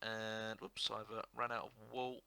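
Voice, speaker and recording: A young man with a sore throat and a slightly hoarse voice, chatting casually as he narrates a building game, rambling with fillers and restarts. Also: lowish voice, flat delivery, a little slow, bright, forceful tone. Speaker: gaming video host. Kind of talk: casual gameplay commentary.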